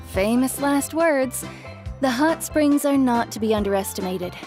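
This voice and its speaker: Spirited strict neighbor auntie, game acting, highish animated voice, teasing n bossy, music behind. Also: female voice, a little slow. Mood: stern. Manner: scolding, firm, teasing.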